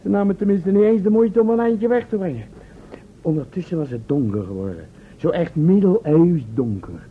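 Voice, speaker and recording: A man's voice: high voice, animated, normal speed. An actor playing a breezy, boastful time-travel test subject who tells his tales in cheeky, colloquial language in a radio play. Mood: cheerful bragging. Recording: tinny, band-limited old radio recording, hiss.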